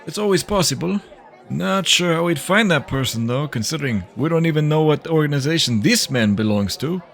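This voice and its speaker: A man playing a measured senior detective who mentors a younger colleague in a science fiction audio drama. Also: fairly high pitch, expressive, gravelly, fairly bright tone, some chatter behind. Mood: puzzled.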